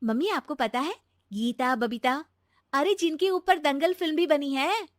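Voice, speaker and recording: Woman acting young daughter-in-law, high voice, earnest, persuasive, respectful, animated family tale, very dry sound. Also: measured pace, no room sound. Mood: coaxing. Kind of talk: animated Hindi moral-story voice acting.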